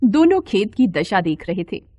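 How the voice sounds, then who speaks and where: A woman narrating a literary story as an expressive scripted reading. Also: mid-range voice, moderate pace, dry recording. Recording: steady insect-like background noise.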